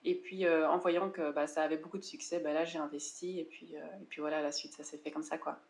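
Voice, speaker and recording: A woman from France in her thirties, speaking in a fairly low voice. She talks in a loose, conversational way, pausing with fillers and restarting her sentences as she tells her story. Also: little pitch movement, darkish tone. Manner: chatty, frequent hesitations and restarts. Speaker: interview guest, online seller coach. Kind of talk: casual conversational interview.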